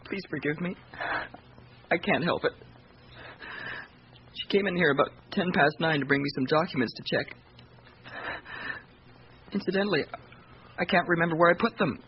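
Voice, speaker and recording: An actor as a shaken, high-voiced young man. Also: natural voice. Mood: shaken, apologetic. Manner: halting, apologetic, stammering. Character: distraught young male office worker, apologetic and shaken. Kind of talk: radio drama acting. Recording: muffled, lightly noisy radio recording.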